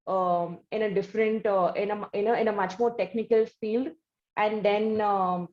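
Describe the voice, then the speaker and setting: An Indian-accented woman speaks as the host of an interview, at a middle pitch. She builds her questions slowly and wordily, often rephrasing as she goes. The sound is roomy and echoey, with slightly dulled audio. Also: fairly even intonation, lo-fi sound.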